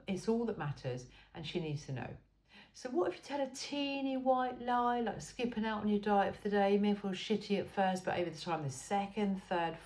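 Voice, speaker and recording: A woman's voice: mid-range voice, darkish tone. A woman reading an informal self-help book aloud in an emphatic, cheeky and frank way. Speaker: amateur reader of a book aloud.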